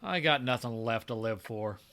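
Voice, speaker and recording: A man's voice: medium pitch, expressive, moderate pace, bright, forceful tone. A man acting a glum, resigned repairman sidekick in a radio comedy. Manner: gloomy, resigned. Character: glum, wry male repairman coworker.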